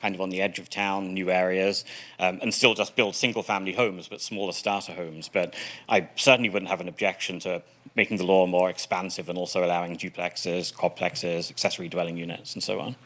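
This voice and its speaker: A man with an English accent testifies before a legislative committee, explaining policy in a clear, articulate way. His voice is bright and forward, sitting a little low in pitch, and he lightens the delivery now and then with dry, self-deprecating humor. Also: little pitch movement, brisk. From England. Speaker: policy witness. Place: state committee hearing room.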